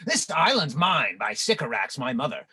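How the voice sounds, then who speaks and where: A man playing a bitter, savage enslaved island monster in a Shakespearean radio play, theatrical and aggrieved, with a raspy, gravelly voice. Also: medium pitch, sing-song, normal speed, sharp tone, heavily acted.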